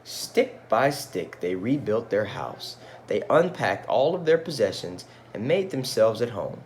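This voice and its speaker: A man reading a children's story aloud in an expressive style with casual asides. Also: mid-range voice, measured pace, a little hiss or hum. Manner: expressive, engaging listeners with questions. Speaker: storybook reader.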